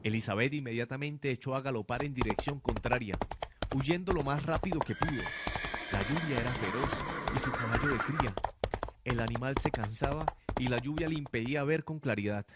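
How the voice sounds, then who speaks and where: A man's voice: mid-range voice, fairly quick. A young man reading dramatic scripted narration for a radio drama, in a noisy amateur recording. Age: young adult. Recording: noisy amateur student production.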